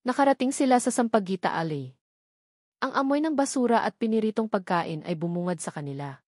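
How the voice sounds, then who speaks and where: A woman's voice: fairly high pitch, lively intonation, fairly quick, darkish tone, no echo, clean studio sound. A woman narrating a scripted dramatic story.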